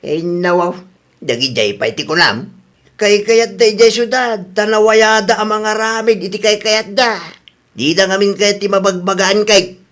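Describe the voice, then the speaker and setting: A man's voice: high-pitched, normal speed, fairly bright tone. A man playing a dismissive, grumbling older husband and father in an amateur radio drama, speaking curtly.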